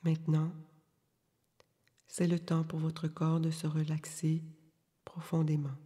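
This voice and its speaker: A woman leading a guided yoga nidra meditation, giving calm, soothing, unhurried instructions with long pauses in a soft, breathy voice. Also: low voice. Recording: long reverberant hall-like echo.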